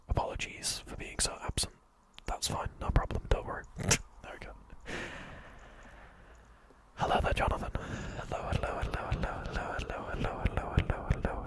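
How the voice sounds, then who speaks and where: A man whispering playfully to viewers on an ASMR livestream into a fluffy microphone, repeating greetings and joking around.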